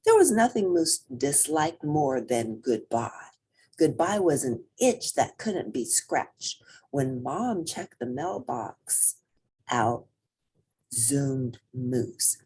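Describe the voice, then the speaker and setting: Very animated, slow-paced woman storyteller. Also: extremely low pitch, slightly rough. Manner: expressive, theatrical emphasis. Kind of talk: children's picture book read-aloud.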